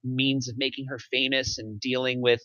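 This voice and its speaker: A man giving casual, analytical script feedback in a halting way, repeating and reworking his phrases as he thinks aloud. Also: medium pitch, little pitch movement, pushed and bright. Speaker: table read participant giving script feedback. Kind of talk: casual script critique discussion. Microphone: online voice call. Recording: muffled lo-fi voice chat audio.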